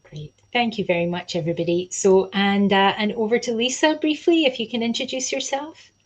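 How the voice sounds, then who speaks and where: A woman's voice: mid-range voice, brisk. Woman running an online panel over a video call, chatty, lots of ums and restarts. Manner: chatty, many fillers and restarts. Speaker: program convener and panel host. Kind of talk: hosting a live online panel.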